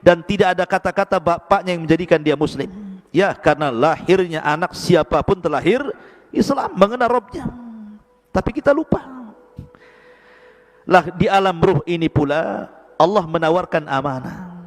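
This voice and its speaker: High-voiced preacher man in an echoey hall. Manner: animated, swings between high emphatic lines and low slow Arabic recitation. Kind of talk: sermon to a congregation.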